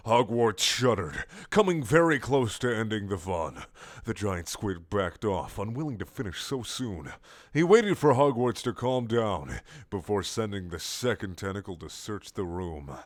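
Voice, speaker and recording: A man narrating comic fiction from a script in a theatrical, measured, storytelling way. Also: lowish voice, big pitch swings. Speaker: narrator reading a fan story.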